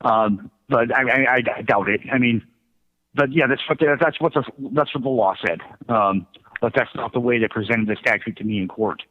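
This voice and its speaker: A middle-aged man telling his own story as a radio interview guest, in a halting, self-correcting way. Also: mid-range voice, a little hiss or hum. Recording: thin phone-like line.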